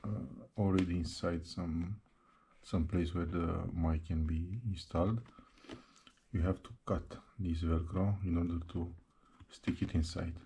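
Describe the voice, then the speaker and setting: A man narrating a hands-on how-to tutorial step by step, speaking haltingly in short broken phrases. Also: low-pitched, little pitch movement, warm tone, slight background noise. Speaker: presenter of a how-to installation tutorial.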